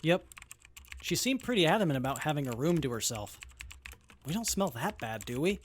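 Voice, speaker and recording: A man's voice: a little high, very animated, brisk, a little hiss or hum. A man playing an earnest, naive young tinkerer with a guileless manner in an audio drama.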